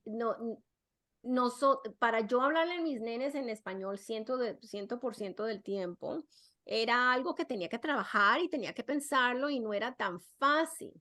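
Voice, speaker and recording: A woman's voice: fairly high pitch, normal speed, pushed and bright. A middle-aged woman, a psychologist and podcast guest, talking casually and often restarting and repeating her words. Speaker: guest, clinical psychologist and parenting expert.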